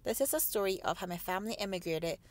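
A woman in her twenties, from South Korea, reads a prepared personal narration at a very brisk clip. Her pitch sits in a middle register, and her delivery stays steady and even throughout. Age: 20s. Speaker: student telling her family's immigration story.

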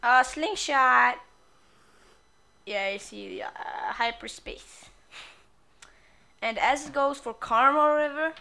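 A woman's voice: sits high, slow, vocal fry, pauses often, bright, forceful tone. A woman gamer giving casual commentary on a playthrough in a crude, sweary, playful way.

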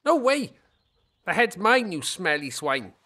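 High, swooping, rude villager. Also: male voice, very animated, unhurried, sharp tone, heavily acted. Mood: indignant. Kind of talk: video game character acting.

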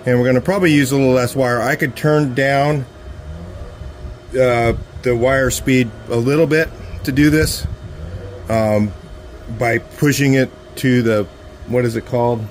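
Middle-aged garage guy teaching welding outdoors, relaxed and plainspoken, mid-range voice, light outdoor hiss behind. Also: mid-range voice, measured pace, long pauses. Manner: relaxed, plainspoken, talks while working. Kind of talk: casual hands-on demonstration. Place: outdoor driveway workshop.

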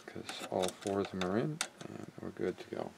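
A man narrating a hands-on repair casually, haltingly thinking aloud as he works. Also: sits low, animated, gravelly, very noisy. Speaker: repair hobbyist and video maker. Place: indoor cluttered electronics workbench.

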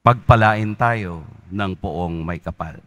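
Slow, measured male priest preaching. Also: low voice, a bit bright. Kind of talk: homily and mass prayers.

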